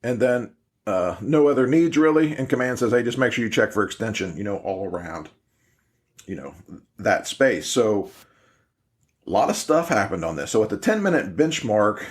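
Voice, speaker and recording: A man's voice: on the low side, animated, normal speed. A man explaining and reviewing in an informal way, like an instructor, often checking in with "right?". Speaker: podcast host and incident command instructor. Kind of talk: casual spoken analysis of fireground radio traffic.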